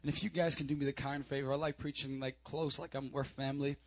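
A hoarse man leading worship, praying and exhorting a church congregation into a stage mic. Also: medium pitch, little pitch movement, fast talker. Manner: urgent, exhorting calls to the crowd. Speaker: worship leader and preacher. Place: church service hall. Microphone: handheld stage mic.

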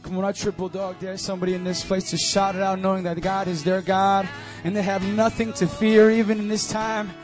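A hoarse man leading worship, praying and exhorting a church congregation into a stage mic. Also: high voice, level tone, a little fast, few pauses, soft background music. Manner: urgent, exhorting calls to the crowd. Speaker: worship leader and preacher. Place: church service hall. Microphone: handheld stage mic.

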